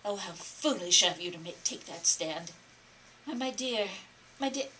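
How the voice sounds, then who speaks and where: A woman's voice: mid-range voice, lively intonation, a little slow, sharp tone, poor audio. A woman acting an imperious, easily exasperated society hostess and mother in a theatrical, scolding manner at a play table reading. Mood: pleading, polite. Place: library room. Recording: background noise, live room reading.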